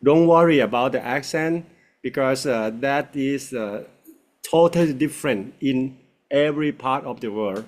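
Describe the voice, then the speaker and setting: Thai man, halting English with many fillers. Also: mid-range voice. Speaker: host. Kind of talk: casual conversation practice.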